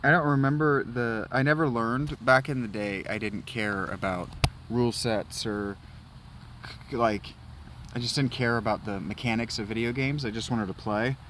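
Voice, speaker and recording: A man's voice: mid-range voice, fairly even intonation, normal speed, slight background noise. A man hosting a let's play, chatting casually and self-deprecatingly about the game as he plays. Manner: rambling, self-deprecating, quiet aside. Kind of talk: casual gameplay commentary.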